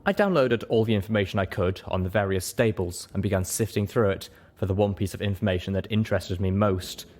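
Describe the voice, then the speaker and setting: Low-voiced man narrating a story. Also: brisk, darkish tone. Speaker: narrator of a fan fiction reading. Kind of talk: scripted first-person story narration. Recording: faint background noise.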